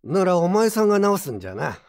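An actor playing a gruff but kindly old pottery mender with brusque old-man speech, in a Japanese audio drama. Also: high voice, big pitch swings, quick pace. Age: older.

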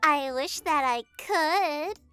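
A woman voicing a tiny, naive, excitable girl kitten in a cutesy childlike cartoon voice. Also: sits high, takes it slowly, faint music behind. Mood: sweet, chatty. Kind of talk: comic dub cartoon acting.

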